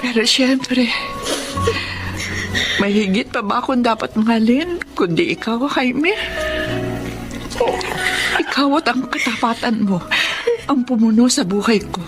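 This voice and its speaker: A woman's voice: on the high side, unhurried, long pauses, music in the background, close to an everyday voice. An actress playing a loving, devout middle-aged mother and wife who narrates her life in a Filipino radio drama. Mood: tender, loving.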